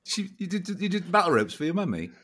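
A man co-hosting a podcast in rambling, jokey chat, his voice a little croaky. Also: high-pitched, very animated, fast talker, fairly bright tone. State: slightly croaky voice. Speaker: podcast co-host. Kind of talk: casual comic chat.

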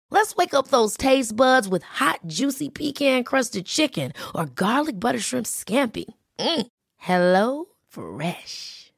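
A woman's voice: a little high, a bit bright. A woman reading a playful, flirty radio-style advertisement. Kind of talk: read advertisement.

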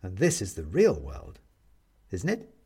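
Man, audiobook narrator, expressive scripted storytelling, lively intonation, husky texture. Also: low-pitched, a little slow.

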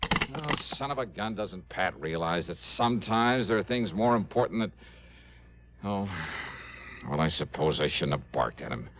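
An American actor playing an easygoing, flustered freelance investigator in an old radio drama. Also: male voice, low-pitched, expressive, moderate pace, slight background noise. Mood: harried. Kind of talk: 1950s-60s American radio drama acting. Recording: dull old radio broadcast.

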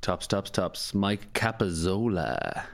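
A man's voice: deep voice, sing-song, slow, creaky. An Irish man co-hosting a comedy podcast, dry and teasing in casual banter. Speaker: podcast co-host. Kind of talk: casual chat.

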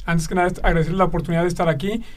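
A man's voice: sits high, steady tone, racing, far from the mic. A man in his twenties, a guest coach, talking earnestly on a casual podcast. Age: 20s.

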